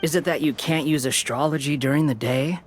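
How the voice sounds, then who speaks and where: A man's voice: a little high, a little fast, close to an everyday voice. A man playing an aloof, mocking young rival in a video game, sarcastic and sneering. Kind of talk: video game character acting.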